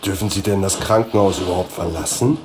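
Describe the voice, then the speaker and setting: An actor playing an anxious, deferential employee in a satirical radio play, polite, hesitant and fretful. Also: male voice, low-pitched, brisk, natural voice. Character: anxious, deferential male employee of an older boss. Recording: clear vehicle noise behind.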